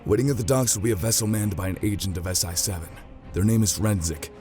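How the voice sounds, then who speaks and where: An actor playing a grim, self-sacrificing veteran commander in an audio drama, speaking firmly and with resignation. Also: male voice, fairly low pitch, quick pace, mellow, faint music behind, close to an everyday voice. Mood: grim resolve.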